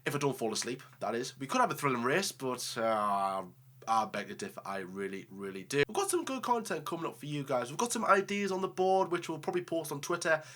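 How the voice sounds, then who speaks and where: A man's voice: fairly high pitch, sing-song, a little fast, bright, forceful tone. Man with a cold, chatty. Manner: chatty, joking. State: has a cold, tired. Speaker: host. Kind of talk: casual sign-off chat.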